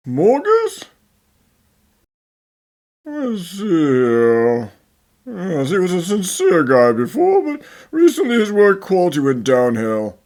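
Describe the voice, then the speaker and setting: Woman playing wildly animated, fawning factory foreman. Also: fairly low pitch, slow, pauses often, heavily acted. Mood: dismissive. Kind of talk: amateur anime fan dub acting.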